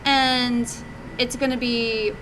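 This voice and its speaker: A woman's voice: fairly high pitch, slow pace, a crowd in the background. A woman answering questions informally at a meetup as an indie game developer, casual and a little hesitant, thinking aloud. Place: indoor meetup presentation, live. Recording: noisy live room recording, steady hum.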